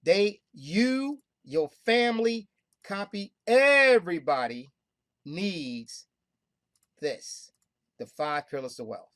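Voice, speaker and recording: A woman hosting a group call, pitching hard with emphatic, repetitive, preaching talk. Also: expressive, takes it slowly, dry recording, clean studio sound. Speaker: host of a money club call.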